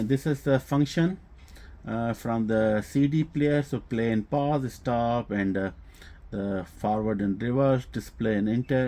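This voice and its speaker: A man's voice: medium pitch, a little mellow. A man who speaks English as a second language, casually presenting a product for his hobby channel in a hesitant way, with frequent filler sounds. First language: not English. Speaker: host of a hobby audio equipment channel. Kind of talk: casual product showcase vlog.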